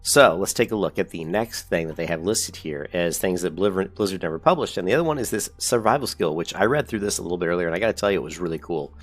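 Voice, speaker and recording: A man's voice: sits low, lively intonation, quick pace, few pauses. A man with a cold and a congested voice, hosting a casual gaming video and reading features off a website in a rambling, self-correcting, upbeat way.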